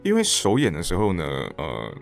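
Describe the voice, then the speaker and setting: Male podcast guest, very low register with vocal fry, animated storyteller, conversational. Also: normal speed, soft background music. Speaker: podcast guest, theatre director and actor. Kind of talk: casual interview chat with anecdotes.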